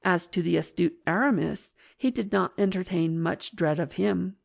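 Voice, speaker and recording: A woman reading classic fiction as an audiobook narrator in a measured, literary manner. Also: fairly low pitch, creaky.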